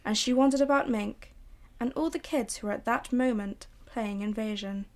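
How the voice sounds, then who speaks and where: Woman narrator, high voice, slow and hushed. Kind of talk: scripted narration for a radio drama.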